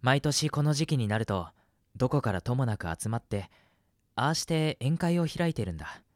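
Man playing a gentle teenage ghost boy, expressive. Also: medium pitch, normal speed, dry recording, clean studio sound, close to an everyday voice. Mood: gentle, explanatory. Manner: soft-spoken, earnest, reassuring. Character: gentle, cautious ghost of a sixteen-year-old boy. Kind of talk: radio drama acting.

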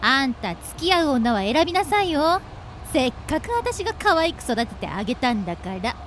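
A woman's voice: sits high, sing-song, moderate pace, a bit bright, a little hiss or hum. A woman playing a frank, short-tempered, protective grown-up guardian in a radio drama, with a bossy, teasing manner. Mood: nosy, teasing. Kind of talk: Japanese amateur radio drama acting.

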